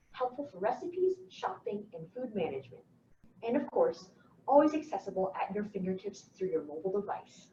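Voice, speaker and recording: A young woman from Illinois reading a scripted startup pitch in an even, listing way. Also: slight background noise. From Illinois, USA. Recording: distant, dull, echoey room recording.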